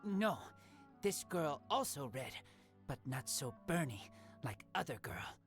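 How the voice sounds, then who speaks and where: An actress voicing a guarded, earnest young wolf boy in a video game, in terse, halting broken sentences. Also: low voice, big pitch swings, soft background music. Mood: wary. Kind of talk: video game character dialogue.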